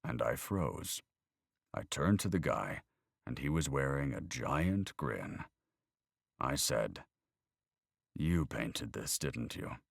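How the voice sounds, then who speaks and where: A weary older man with a very deep voice who speaks in a measured, reflective way, as a man puts him on in a storybook reading. His pitch still moves with lively turns. The sound is dry and close. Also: vocal fry, dry recording, exaggerated voice. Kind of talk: audiobook character voice.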